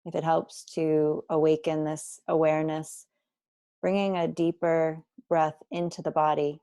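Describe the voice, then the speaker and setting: Woman meditation guide, soft dark tone, creaky fry, unhurried, deliberate pauses, gentle instruction. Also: low voice, level tone, no room sound, clean studio sound. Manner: slow, deliberate, with long pauses. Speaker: meditation teacher. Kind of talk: guided body scan meditation.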